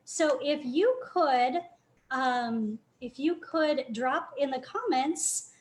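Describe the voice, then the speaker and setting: A woman's voice: high-pitched, slow. A woman hosting a live video chat, upbeat and enthusiastic as she introduces her guests. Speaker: host.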